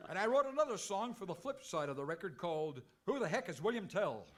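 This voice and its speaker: An actor playing a vain, easily flustered cowboy hero in an old time radio comedy. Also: male voice, high-pitched, sing-song, fast, a little hiss or hum. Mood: indignant, vain.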